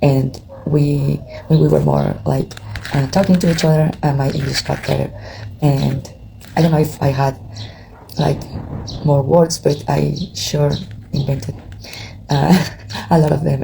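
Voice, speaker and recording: A woman's voice: deep voice, vocal fry, background noise. A woman with a Mexican Spanish accent, a tarot reader talking casually to her viewers, hesitant and tired, with many fillers and restarts. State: tired, has not slept. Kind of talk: casual chat to camera.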